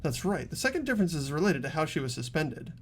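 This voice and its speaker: An earnest teenage boy detective in a video game, played by a man. His voice sits fairly high and moves with lively pitch as he politely and deliberately explains his reasoning. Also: a little hiss or hum. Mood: explaining. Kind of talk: amateur game voice acting.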